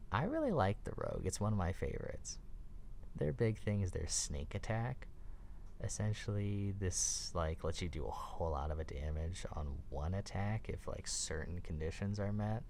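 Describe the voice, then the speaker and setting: A man speaking softly in a casual, rambling, enthusiastic ASMR style, slangy and sweary, sometimes dipping near a whisper. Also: sits low, slight background noise. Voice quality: soft, breathy delivery dipping near whisper. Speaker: ASMR roleplay host. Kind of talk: soft-spoken ASMR roleplay, casual explaining.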